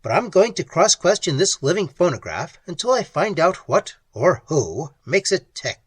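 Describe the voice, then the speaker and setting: A man's voice: a little high, very animated, voice pushed up. A man voicing a blunt, wry American explorer who grunts his lines and stammers when he is rattled. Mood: gruff. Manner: gruff, grunting, halting stammer.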